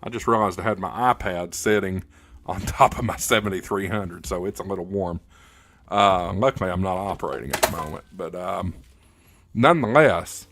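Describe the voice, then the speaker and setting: A man hosting a casual hobby livestream, answering comments in a halting, plain-spoken, opinionated way, often repeating words as he goes. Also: sits low, a bit bright, dry recording. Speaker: livestream host, ham radio hobbyist.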